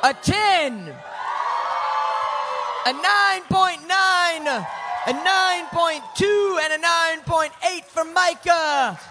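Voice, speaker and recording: Shouting woman slam host over a roaring crowd. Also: very high-pitched, very slow. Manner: shouted, energetic announcing. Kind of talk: announcing scores and performers to a crowd. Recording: loud crowd noise, live venue.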